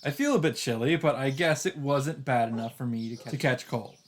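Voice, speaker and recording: A man's voice: medium pitch, lively intonation, a little fast. A man hosting a let's play, reading story narration aloud expressively with occasional casual asides. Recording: music under the voice.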